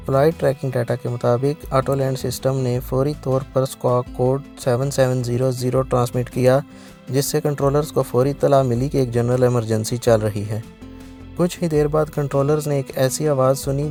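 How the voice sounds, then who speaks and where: A man's voice: mid-range voice, creaky, warm tone. A man narrating a scripted aviation incident documentary in a formal, dramatic storytelling manner.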